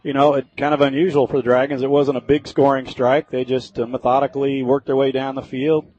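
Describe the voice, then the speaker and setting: A man's voice: mid-range voice, little pitch movement, brisk, a little mellow. An American man giving informal, folksy color commentary during a live football broadcast. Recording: slightly dull, lo-fi radio sound.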